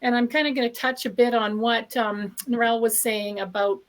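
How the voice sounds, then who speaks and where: A woman speaks on a group video call, her voice worn down by an oncoming illness. She stays upbeat and earnest, her pitch sitting slightly high, and she leans on frequent ums as she thinks. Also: steady tone, a little fast. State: voice going from illness. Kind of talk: casual testimonial on a group call.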